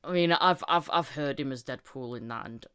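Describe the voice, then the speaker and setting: Woman, podcast host, low-to-middle smooth clear voice, enthusiastic, rambling, casual chat. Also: a little fast, a bit bright.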